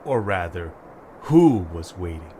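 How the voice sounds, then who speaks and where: Slow, expressive male story narrator. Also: sits low. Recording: faint background noise.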